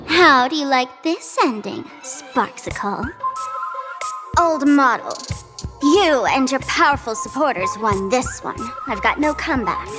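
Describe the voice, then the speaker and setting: A high-pitched, smug young trickster girl in a video game. She teases theatrically and swings widely in pitch, with music playing behind her. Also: lively intonation, measured pace. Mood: sly, challenging. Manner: teasing, theatrical, speaks of herself in third person. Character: mischievous young female masked trickster streamer, smug and theatrical. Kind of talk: video game character acting.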